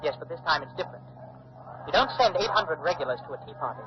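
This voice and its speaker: A man's voice: fairly high pitch, expressive, quick pace, natural voice. Man acting defiant young colonial rebel, old noisy radio. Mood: defiant, determined. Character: defiant twenty-year-old colonial doctor, earnest patriot rebel. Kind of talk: 1940s radio drama acting. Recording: dull, noisy old 1940s radio recording.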